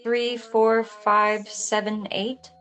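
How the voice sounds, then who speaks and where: An actress reading an inquisitive, reserved but friendly police officer in a film script, casual and understated. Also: female voice, a little high, flat delivery, very slow, fairly bright tone. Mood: reserved, polite. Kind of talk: table read of a film script.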